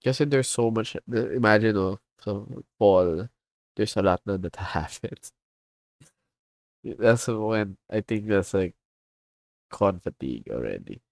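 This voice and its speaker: A man's voice: lowish voice, lively intonation, slow pace, pauses often, no room sound. A man with a Filipino accent, chatting casually on a livestream as a comic artist and rambling as he switches between English and Tagalog. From Philippines.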